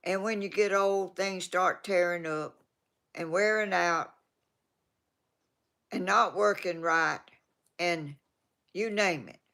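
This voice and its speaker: Slow gravelly Georgia grandma in her eighties. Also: fairly low pitch, fairly bright tone. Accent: Southern US, Georgia. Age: 80s. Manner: slow rambling, trails off and restarts. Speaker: vlogger reading viewer comments. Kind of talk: casual rambling chat.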